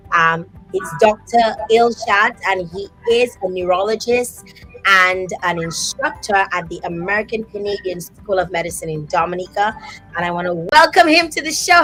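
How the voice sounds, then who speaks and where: A woman hosts a live online talk show and talks in an expressive way, her pitch climbing when she gets animated. Her voice is of middle pitch. Faint music plays behind her. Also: normal speed, low-quality recording. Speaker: host.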